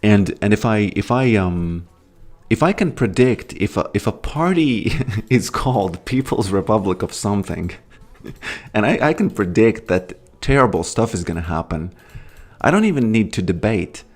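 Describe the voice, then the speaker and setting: Low-voiced man streaming a game. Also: lively intonation, normal speed. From Latvia. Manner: rambling, musing, greets chat. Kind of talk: casual gaming livestream chat.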